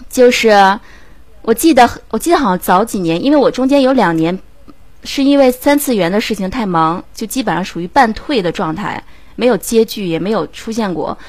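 A woman's voice: on the high side, expressive, fairly quick, a little mellow, no room sound. A woman guest speaking reflectively and earnestly in a casual online chat. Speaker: amateur voice actress, guest.

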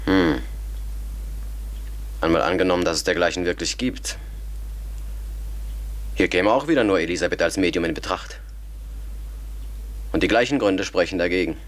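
An actor playing a sceptical, methodical educated man who reasons formally and deliberately in a German radio drama. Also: deep voice, close to an everyday voice.

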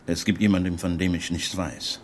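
A man's voice: sits low, level tone, moderate pace, a little hiss or hum, natural voice. An actor playing an assured, indulgent businessman, coaxing and persuasive, in a naturalistic radio drama. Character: assured, indulgent American businessman courting a woman.

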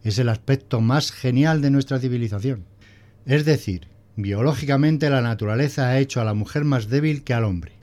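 A man's voice: mid-range voice, moderate pace, darkish tone, natural voice. A man playing a prim, pedantic male schoolteacher who lectures didactically in a scripted audio drama. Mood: earnest explaining. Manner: didactic, pompous.

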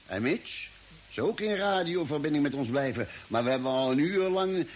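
An actor playing a cautious, caring expedition doctor in an old radio play. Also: male voice, on the high side, moderate pace, close to an everyday voice. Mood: cautious, concerned. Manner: calm, reassuring, cautioning. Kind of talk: mid-20th-century Dutch radio play acting. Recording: hissy old radio drama recording.

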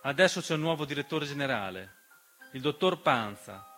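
A man's voice: medium pitch, animated, moderate pace, pushed and bright. A man delivering an emphatic, dramatic theatrical monologue as narrator, with rhetorical questions and refrains. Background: piano accompaniment.

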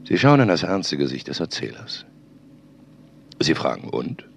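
An actor playing a stern, aloof storyteller who narrates dramatically in short, deliberate sentences on an old home tape. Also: male voice, wildly swooping pitch, a little hiss or hum, heavily acted. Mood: grave, intense. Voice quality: very deep, gravelly.

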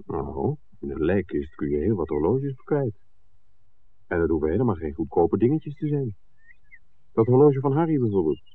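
A man's voice: sits low, big pitch swings, normal speed. An actor playing a suspicious, stubborn man in a 1960s radio drama, probing and plain-spoken. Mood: doubtful, suspicious. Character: suspicious, stubborn man scouting a film location. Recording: tinny, muffled 1960s radio recording.

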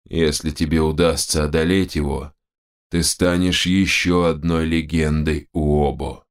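A man voicing a solemn, measured chief shaman in a fantasy audiobook. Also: a bass voice, little pitch movement, smooth, voice pushed down. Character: grave male chief shaman, solemn, awed.

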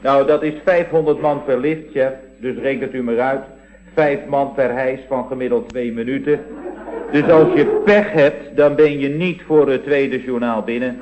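An actor playing a deferential, droll employee in a radio comedy, explaining things in a deadpan, matter-of-fact way. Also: male voice, medium pitch, moderate pace, very noisy, natural voice. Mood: deadpan, explanatory. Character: deferential, droll male employee explaining absurd building defects. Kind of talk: scripted radio comedy acting. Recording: dull old radio recording.

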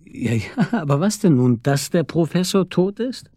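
Husky, wry police detective. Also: male voice, a little high, animated, moderate pace, dark tone, no echo. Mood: puzzled. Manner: dry, colloquial, wry. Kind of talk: naturalistic German radio drama acting.

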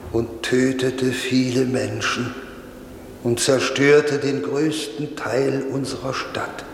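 An actor playing a stern, solemn old priest who preaches in a measured, declamatory way with rhetorical pauses, in the style of 1950s radio drama. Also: male voice, medium pitch. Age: older. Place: large reverberant space. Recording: echoey church-like hall, noisy 1950s radio recording.

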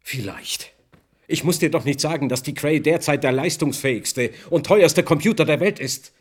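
An actor playing an impatient, controlling research boss, insistent and sardonic, in a radio play. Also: male voice, on the high side, normal speed, lightly acted. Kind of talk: German radio play acting. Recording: long hall reverb.

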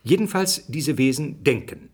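Husky, animated, thoughtful scientist. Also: male voice, fairly high pitch, normal speed. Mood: pondering, explanatory. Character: thoughtful male scientist musing on alien minds. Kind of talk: German radio drama acting.

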